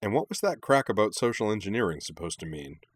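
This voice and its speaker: A man voicing a calm, wry young man in an audiobook, unhurried and dryly amused. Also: on the low side. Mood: wry, firm.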